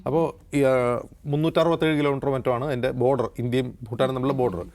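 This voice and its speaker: Fast-talking Kerala man explaining the news. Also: mid-range voice, darkish tone. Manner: detailed, explanatory. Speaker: news anchor and panelist on a news discussion show. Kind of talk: explanatory current-affairs discussion.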